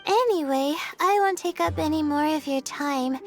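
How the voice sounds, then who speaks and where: Anxious, very high-voiced young student girl. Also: moderate pace, music in the background. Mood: polite, relieved. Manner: hesitant, earnest, soft-spoken. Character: anxious, modest young female astrology student. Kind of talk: anime-style video game voice acting.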